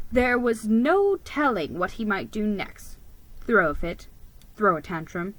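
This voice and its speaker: A woman giving a theatrical, expressive reading of a children's Christmas story. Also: medium pitch, slight background noise, poor audio. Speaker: storybook reader.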